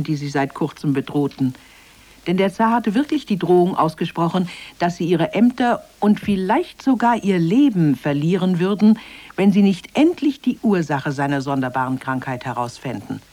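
A man's voice: on the high side, darkish tone. A man narrating a scripted children's radio fairy tale as its storyteller.

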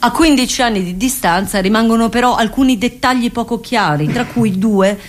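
A woman's voice: a little high, a little fast. A woman delivering scripted dramatic narration as an actor in radio theatre.

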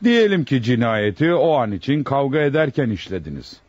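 Stern presiding judge, husky male voice, animated pitch, interrogating, radio drama, dull dry recording. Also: fairly quick, no room sound. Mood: probing. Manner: authoritative, interrogating.

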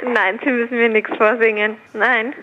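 A woman's voice: on the high side, level tone, measured pace, noisy background. A woman clerk at a municipal office, answering a caller on the phone, polite but firm and a little hesitant. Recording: dull telephone line.

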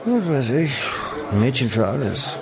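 An actor playing a wary, streetwise underworld informant in a radio drama, terse and slangy. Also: male voice, mid-range voice, big pitch swings, moderate pace, gravelly, a crowd in the background, low-quality recording. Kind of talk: German radio crime drama acting.

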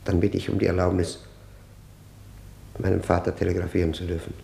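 An actor playing a proud, embittered, middle-aged convict of education, speaking formally and haltingly in a vintage radio play. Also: male voice, sits low, monotone, gravelly, mellow. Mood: subdued, resigned. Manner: halting, deliberate, formal, grave. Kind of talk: mid-century German radio drama acting. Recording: roomy 1960 radio drama studio.

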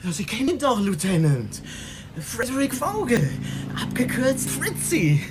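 An actor playing a simple-minded, whiny, frightened young man in his twenties, drugged and halting, in a radio drama. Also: very high-pitched, huge pitch swings, heavy background noise, exaggerated voice. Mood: dazed, whiny. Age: 20s. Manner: childlike, halting, agitated.

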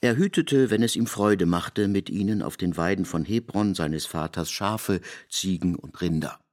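An actor playing the narrator of an ancient biblical saga in a radio play, with measured, ornate phrasing. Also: male voice, low-pitched, a little mellow, close to an everyday voice. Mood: measured, erudite.

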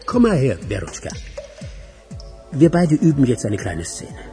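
An actor playing a manipulative, coaxing male film director in a radio crime drama, with a creaky voice. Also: mid-range voice, huge pitch swings, a little slow. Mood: coaxing, instructive. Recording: clear music behind the dialogue.

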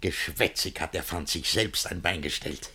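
An actor playing a scheming, possessive middle-aged guardian who feigns friendliness, in theatrical rhymed-verse radio play style with a declamatory manner. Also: male voice, deep voice, normal speed, bright, forceful tone, breathy edge, full character voice. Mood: smug, scheming. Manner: declamatory, mannered, with exclamations and echoed phrases.

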